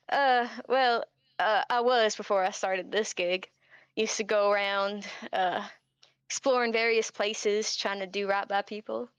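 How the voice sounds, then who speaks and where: A woman's voice: mid-range voice, fairly even intonation, unhurried, clean tone, dry recording. A woman playing a tabletop game, chatting informally in character with a giggly manner. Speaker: player. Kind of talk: casual improvised in-character chat.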